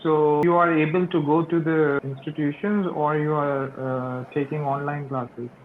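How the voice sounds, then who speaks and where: A man with a slightly high voice speaks slow, careful learner's English while interviewing over a video call. His voice comes through thin and phone-like, with faint hiss underneath. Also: little pitch movement. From Pakistan. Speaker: interviewer and channel host. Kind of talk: English practice conversation. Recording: tinny phone-like call audio.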